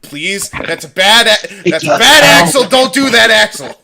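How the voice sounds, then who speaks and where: A man's voice: high voice, animated, unhurried, gravelly, bright, forceful tone. A man hosting a casual livestream, chatting informally with plenty of swearing and repeated phrases. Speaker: livestream host.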